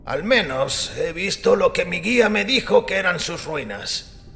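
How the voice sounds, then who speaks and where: A man playing a devout, defensive priest who argues earnestly in a gravelly voice, in a dramatized audiobook. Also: sits high, animated, unhurried, pushed and bright, heavily acted. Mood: skeptical curiosity. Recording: long reverberant hall with music behind. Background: music.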